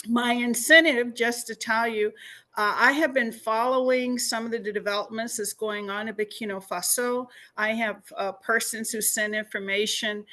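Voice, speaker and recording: A woman's voice: fairly high pitch, bright, forceful tone. A woman with an American accent speaking as a guest at a meeting, formal and earnest in her delivery. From United States. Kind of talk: public talk at a meeting.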